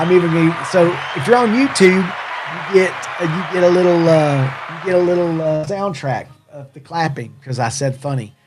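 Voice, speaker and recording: A man from Tennessee with a Southern American accent, chatting casually as a livestream host in a rambling, jokey, self-deprecating way. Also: on the high side. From Tennessee, USA. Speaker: livestream host and hat company owner. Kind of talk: casual live chat with viewers.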